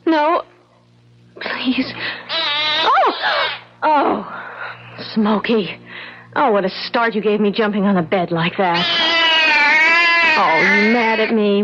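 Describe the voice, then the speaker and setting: An actress playing an anxious, affectionate young wife in an old-time radio drama, with an expressive, emotive delivery. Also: female voice, medium pitch. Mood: anxious, fearful. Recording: muffled, hissy old radio drama recording.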